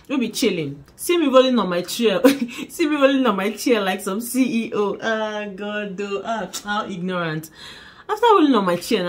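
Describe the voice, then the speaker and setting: Animated young Nigerian woman vlogging. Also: medium pitch, husky. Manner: animated, dramatic, laughing, mixing in pidgin. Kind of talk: casual storytelling to camera. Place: small bedroom indoors. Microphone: camera in front at desk distance.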